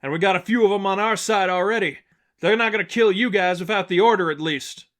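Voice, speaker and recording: A man's voice: high-pitched, fairly quick, fairly bright tone, pitched above the performer's own voice. A man playing a brash female fighter who argues over combat in a story reading. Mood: brash, goading. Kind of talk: audiobook-style character acting.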